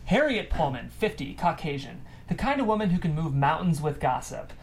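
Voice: medium pitch, sing-song, normal speed. A narrator reading scripted stage directions aloud at a table read.

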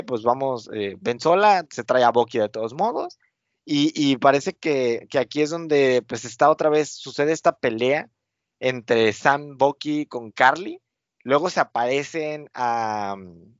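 A man's voice: medium pitch, measured pace, no echo. A man, casually recapping a TV episode as co-host, rambling with many fillers. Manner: rambling, many fillers, acts out quotes with laughs. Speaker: podcast co-host. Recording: dull lo-fi sound.

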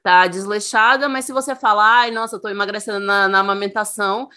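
A woman content creator in lively casual conversation, speaking with emphatic, animated delivery. Her pitch sits in the middle range. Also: brisk, clean tone. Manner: animated, emphatic, laughs often.

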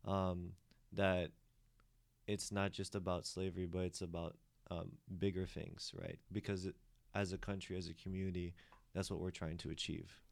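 A man's voice: low voice, little pitch movement, moderate pace, vocal fry, no echo. A man who grew up in the States talking loosely in a group discussion, full of fillers and restarts. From United States. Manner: rambling with many restarts and fillers. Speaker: discussion participant.

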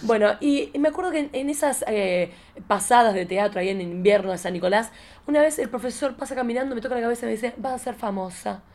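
Fast-talking Argentine woman, playful storyteller. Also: a little high. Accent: Argentine Rioplatense. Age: 40s. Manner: playful, theatrical, expressive storytelling. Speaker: podcast host. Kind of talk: casual chatty interview with personal anecdotes.

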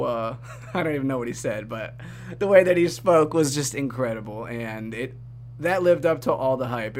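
A man hosting a podcast in a casual, upbeat way, chatty and quick to laugh. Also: medium pitch, a little hiss or hum. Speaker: podcast host. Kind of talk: casual chat.

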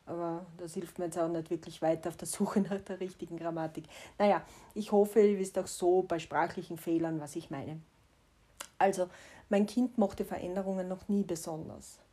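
A woman in her forties with a Styrian Austrian accent, reflecting on her life in a personal monologue. Her voice is mellow and slightly husky. She talks candidly, with a wry, gently self-mocking edge. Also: fairly low pitch. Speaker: vlogger.

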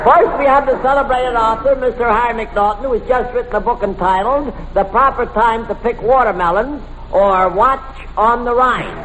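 A man's voice: very high-pitched, normal speed, few pauses, fairly bright tone. A man hosting a 1940s radio comedy quiz, with showy introductions and dry wisecracking asides. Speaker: comic quiz show host. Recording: noisy old radio recording, echoey hall with audience.

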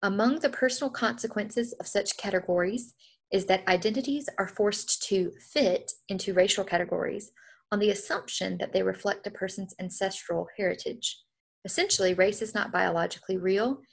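A woman's voice: mid-range voice, normal speed, creaky. A woman hosting an interview podcast, speaking earnestly and reading definitions aloud with care. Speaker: podcast host.

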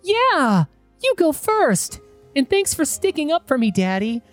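An adult putting on a high-voiced sweet little girl. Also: big pitch swings, unhurried, faint music behind. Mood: calm, sweet. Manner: soft-hearted, childlike. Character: sweet, brave young daughter.

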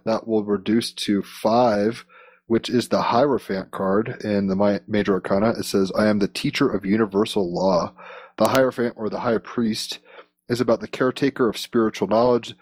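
A man's voice: lowish voice, darkish tone, no room sound. A man hosting a podcast, interviewing in an informal, rambling, conversational way.

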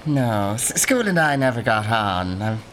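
An actor playing a gentle, chatty old Irishman who rambles and muses in an audio drama. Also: mid-range voice, very animated, unhurried, a little hiss or hum. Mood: gently sympathetic. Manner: rambling, musing, tag questions. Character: gentle, chatty, ghostly old fellow narrating and watching passengers.

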